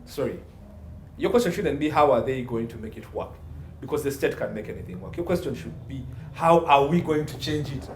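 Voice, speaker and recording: Male legal pundit, forceful rhetorical delivery in interview, recorded in a reverberant room with slight background noise. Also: medium pitch, lively intonation, fairly quick. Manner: emphatic, assertive, repeats phrases and asks rhetorical questions. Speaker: guest expert, entertainment law consultant. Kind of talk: opinionated interview commentary. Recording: roomy echo, faint background noise.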